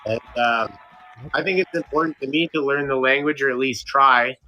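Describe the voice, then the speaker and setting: Casual guy from canada, young, rambly with um and uh, super bright tone. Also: mid-range voice, slight background noise, poor audio. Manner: rambling, halting with many fillers and restarts. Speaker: podcast guest, streamer. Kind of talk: casual interview chat.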